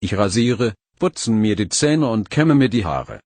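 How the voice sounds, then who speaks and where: A man with a somewhat low voice and a husky, breathy edge reads practice phrases one at a time. He speaks slowly and evenly, pausing after each phrase. The sound is dry and close, with no room behind it. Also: no echo. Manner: slow, even, careful phrase-by-phrase reading. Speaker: phrase reader. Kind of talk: reading phrases for learners.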